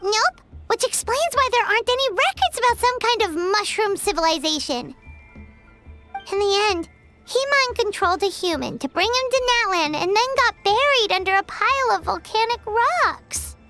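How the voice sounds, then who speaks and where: A woman voicing a small, excitable female fairy companion in a video game, with a squeaky childlike voice and a cheeky, dramatic manner. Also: normal speed, soft background music, full character voice. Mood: chatty, wry. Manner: exclamatory, chatty. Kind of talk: video game character acting.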